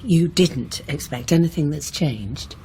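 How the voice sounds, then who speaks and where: Very low-voiced composed wife. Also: female voice, lively intonation, measured pace, dark tone, slight background noise. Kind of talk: naturalistic radio drama acting.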